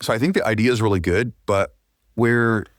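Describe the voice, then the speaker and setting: Man, very deep voice, podcast guest, informal, matter-of-fact, conversational, no room echo. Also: a little fast, studio quality. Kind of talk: casual conversational podcast talk.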